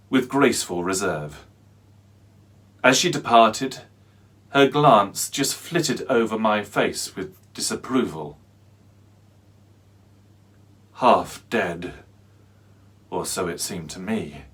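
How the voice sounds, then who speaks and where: Slow, haunted male storyteller acted by a man. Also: lowish voice, fairly bright tone, breathy edge, exaggerated voice. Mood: dazed. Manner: deliberate, theatrical, halting with long pauses. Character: haunted, fearful man recounting a nightmarish pursuit. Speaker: actor, narrator. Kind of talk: dramatic performed reading of a classic horror tale.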